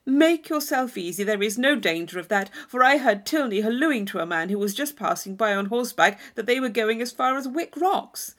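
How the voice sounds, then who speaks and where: A woman narrator puts on a brash young gentleman for a classic storybook. He blusters and boasts, pushing every point loudly and emphatically, with very lively swings of pitch. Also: moderate pace, hardly any pauses. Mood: pushy, assuring. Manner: forceful, emphatic, exclamatory.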